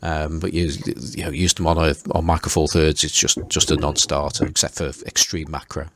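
A man's voice: rumbling low, flat delivery, brisk, a bit bright. A man hosting a casual chat, talking hesitantly and often repeating words while he thinks. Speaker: podcast host.

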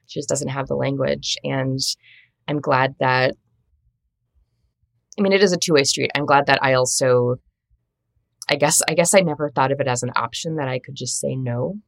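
A woman's voice: extremely low pitch, steady tone, moderate pace, creaky, long pauses, dry recording, studio quality. A woman from Minnesota whose first language is Latvian, speaking as an interview guest, telling reflective stories in a conversational way. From Minnesota, United States. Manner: reflective, storytelling, warm laughs. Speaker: translator and editor, interview guest.

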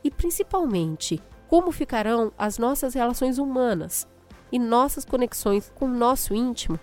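A woman's voice: on the high side, lively intonation, moderate pace, faint music behind. A woman hosting a podcast, reading a chatty scripted intro full of rhetorical questions, then interviewing a guest. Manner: friendly, conversational, rhetorical questions. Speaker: podcast host.

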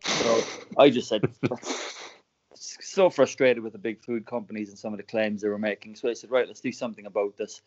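A man's voice: on the low side, fairly even intonation, moderate pace, a little mellow, muffled recording. Chatty Irish man on a podcast. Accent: Irish, Cork. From Ireland. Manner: chatty, laughs often, many restarts. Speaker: guest, company founder. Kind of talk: casual podcast interview storytelling.

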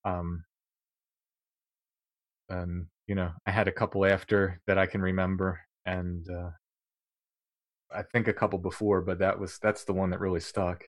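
Man, middle-aged, deep-ish voice, dry sound; halting interview storyteller. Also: flat delivery, no echo. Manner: halting, many restarts and fillers, occasional laughs. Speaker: guest recounting experiences.

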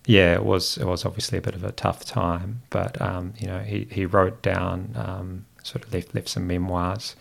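A middle-aged man from New Zealand with a Kiwi accent, raised speaking Greek, chatting reflectively and haltingly as an interview guest. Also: sits low, level tone, vocal fry. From Christchurch, New Zealand. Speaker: podcast guest, founder. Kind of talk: casual reflective interview chat.

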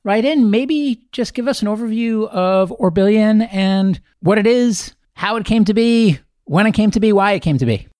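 A man hosting a podcast interview, upbeat and chatty, with quick asides. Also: high voice, darkish tone, no room sound, studio quality. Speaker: podcast host.

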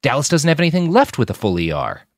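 A man's voice: mid-range voice, wildly swooping pitch, fast talker, no echo, studio quality, pitched above the performer's own voice. A man voicing an earnest, anxious young fixer in an audiobook, speaking with polite, pleading urgency. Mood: insistent.

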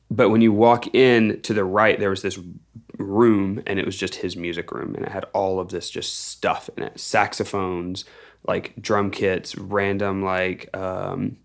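Young american guy from texas, lowish creaky voice, chatting and rambling casually on a podcast. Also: flat delivery, unhurried. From Texas, United States. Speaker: musician guest on a podcast. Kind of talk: casual storytelling in an interview.

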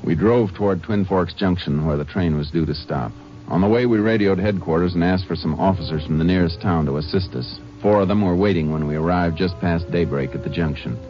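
An actor narrating and playing a steady, commanding Texas Ranger in a 1950s radio drama. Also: male voice, low voice, brisk, close to an everyday voice. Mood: calm. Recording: muffled old radio broadcast with music.

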